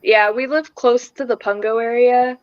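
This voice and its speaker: A woman's voice: fairly high pitch, fairly even intonation, normal speed, dry recording. A woman chatting casually as a podcast guest, recorded on dull lo-fi audio. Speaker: podcast guest, paranormal investigator. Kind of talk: casual chat.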